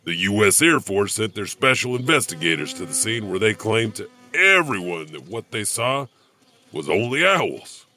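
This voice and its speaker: A man's voice: lowish voice, sing-song, moderate pace, sharp tone. A man playing a creepy, sardonic wandering janitor who narrates horror stories theatrically with chuckling asides. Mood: sly, amused. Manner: sardonic, chuckling, rhetorical questions. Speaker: host of horror anthology podcast.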